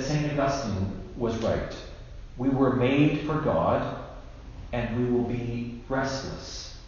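A man in his fifties, a bishop giving a measured, deliberate sermon with emphatic pauses. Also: medium pitch, darkish tone. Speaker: bishop preaching. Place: indoors in a church at a lectern. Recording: very echoey church, dull sound, faint noise.

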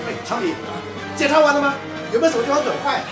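An actor playing a spirited wartime radio station worker, speaking expressively in radio play dialogue. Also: male voice, extremely high pitch, very fast, over music. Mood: worried. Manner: animated, expressive.

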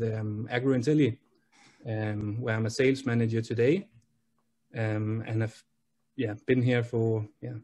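A man's voice: medium pitch, dark tone. A young man with a Danish accent, speaking as a guest on a video call interview, with lots of fillers and restarts. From Denmark. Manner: hesitant, many fillers and restarts. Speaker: guest, sales manager. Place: small room indoors. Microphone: laptop webcam on video call.

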